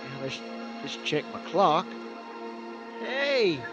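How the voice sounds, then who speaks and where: An actor playing an excitable, good-hearted country trucker with a Southern US accent in a horror-comedy radio play. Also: male voice, fairly high pitch, takes it slowly, dull audio. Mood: amazed relief. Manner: folksy, exclamatory. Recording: echoey radio production with loud music.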